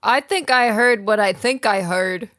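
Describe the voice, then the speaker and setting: Blunt, deadpan mother played by a woman. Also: medium pitch, a little slow, no room sound, studio quality, lightly acted. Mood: demanding. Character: blunt, demanding, uncomprehending mother in a melodrama. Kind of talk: improvised comedy table read.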